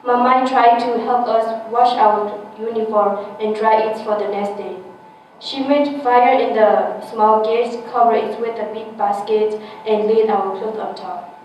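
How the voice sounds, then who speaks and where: A teenage girl born in Thailand reads her personal essay aloud with careful, halting phrasing and small restarts, recorded from a distant mic in an echoey room. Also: fairly high pitch, steady tone, darkish tone, slight background noise. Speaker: essay contest winner.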